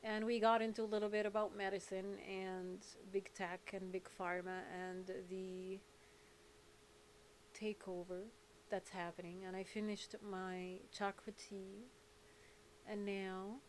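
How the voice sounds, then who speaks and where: A woman's voice: medium pitch, level tone, takes it slowly, a little hiss or hum, rough recording. A woman born in England and raised in Saudi Arabia, hosting a casual livestream chat in a rambling, blunt, candid way. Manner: rambling, candid, blunt, jumping between topics. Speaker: livestream host and activist.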